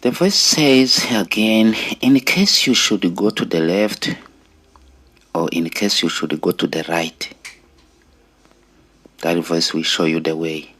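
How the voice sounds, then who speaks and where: A middle-aged man with a Rwandan accent giving an earnest personal testimony in deliberate, short phrases with pauses. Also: low voice, fairly bright tone. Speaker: storyteller sharing own life story.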